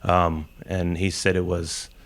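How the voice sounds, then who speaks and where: A man with a deep voice shares an earnest reflection as an audience member. His intonation stays quite flat, and his wording is plainspoken. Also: slow.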